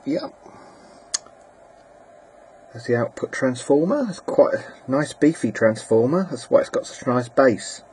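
A man giving a casual, plain-spoken and slightly halting hobbyist demonstration, presenting his own equipment. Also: medium pitch, lively intonation, darkish tone. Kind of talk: casual hobbyist demo narration. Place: indoors at a workbench. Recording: clear background noise, amateur camera audio.

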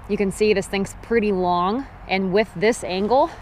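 A woman in her thirties giving a casual, practical how-to talk to a handheld action camera on a river gravel bank, firm when stressing safety rules. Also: medium pitch, moderate pace, darkish tone, slight background noise. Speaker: fishing vlogger. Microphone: action camera held at arm's length.

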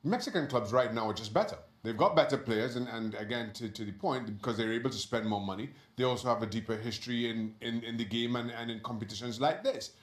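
A man on a football panel giving his views in a measured way, often repeating words as he thinks. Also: mid-range voice. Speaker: football pundit. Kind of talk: sports panel discussion. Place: TV studio. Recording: roomy studio sound.

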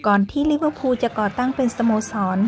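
A woman's voice: high-pitched, fast, soft background music. A young woman hosting a casual tour vlog, narrating politely and chattily, and speaking English with a Thai accent when she switches. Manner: polite, chatty, adds frequent soft sentence-end particles. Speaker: vlog host and tour guide. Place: football stadium museum indoors.

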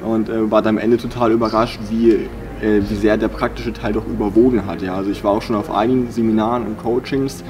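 A young man giving a casual, relaxed testimonial about a seminar. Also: fairly low pitch, brisk, over music. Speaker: seminar participant. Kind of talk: interview testimonial. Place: indoor sports hall.

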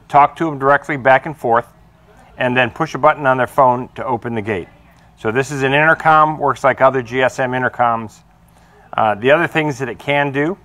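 A middle-aged American man presents a product informally. His voice sits at a medium pitch, and he explains things in a plainspoken, practical way. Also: a bit bright. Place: small indoor workshop or showroom.

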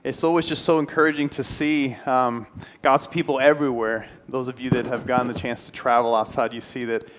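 A man's voice: mid-range voice, flat delivery, fairly quick, few pauses, background noise. A young man in his twenties from Puerto Rico, giving a friendly, earnest church talk in a hall. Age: 20s. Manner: friendly, earnest, some restarts. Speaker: guest speaker. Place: church hall with stone fireplace. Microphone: handheld mic, then headset.

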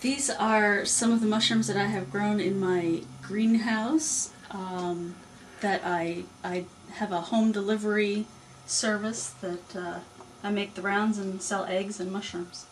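A young woman farmer answering interview questions in a small cluttered office, calm and plain, explaining step by step. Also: mid-range voice, measured pace, slight background noise, rough recording. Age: young adult. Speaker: mushroom and poultry farmer.

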